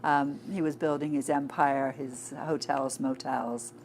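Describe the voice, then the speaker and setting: A woman's voice: a bass voice, fairly even intonation, measured pace, slight background noise. A woman from England, answering interview questions conversationally with frequent fillers. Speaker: interview guest. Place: inside a flying saucer-themed shop.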